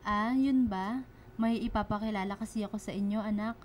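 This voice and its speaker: A woman's voice: mid-range voice, steady tone, brisk, darkish tone, slight background noise, close to an everyday voice. A Filipino woman playing a worried, caring middle-aged single mother in a radio drama. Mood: warm. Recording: music behind the dialogue.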